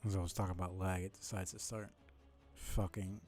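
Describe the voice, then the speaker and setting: A man giving casual live game commentary as a streamer, muttering in a low-key way and swearing freely. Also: little pitch movement, brisk, a little mellow, soft background music.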